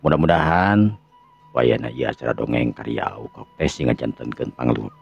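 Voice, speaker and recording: A man with a Sundanese accent and a gravelly, husky voice, narrating a tale in the manner of a radio storyteller. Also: rumbling low, moderate pace. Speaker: storyteller and channel host. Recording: dull lo-fi recording with music. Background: music.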